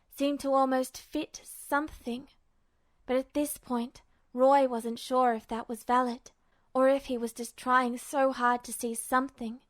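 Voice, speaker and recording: A woman's voice: high-pitched, dry recording, clean studio sound. A woman narrating fan fiction as a scripted story reading.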